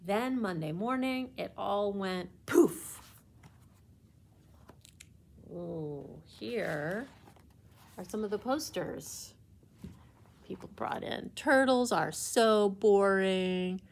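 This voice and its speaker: A woman working as a library storyteller reads a children's book aloud, with deliberate, expressive phrasing meant for young listeners. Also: medium pitch, gravelly, breathy edge. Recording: faint background noise.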